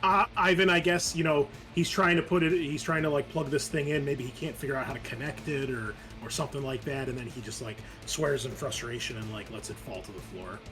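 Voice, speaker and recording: Medium-pitched man chatting over faint music. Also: fairly even intonation, fairly quick, hardly any pauses. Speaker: tabletop game player. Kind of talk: casual game-table chat. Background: soft music.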